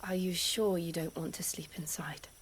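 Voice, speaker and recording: A woman's voice: on the low side, steady tone, normal speed, slight background noise, lightly acted. An actress playing a kind, composed young noblewoman in a video game, polite and earnest. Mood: concerned. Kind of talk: dramatic video game cutscene acting.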